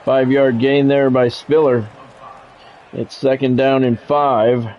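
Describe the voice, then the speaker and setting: A man's voice: medium pitch, little pitch movement, slow pace, lo-fi sound, a little hiss or hum. A man doing radio-style play-by-play of a football video game on a livestream, with swearing and greetings to viewers.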